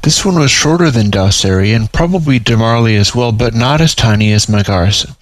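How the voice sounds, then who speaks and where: A man's voice: mid-range voice, a little fast, no room sound. A man narrating a piece of fiction, reading his own story aloud.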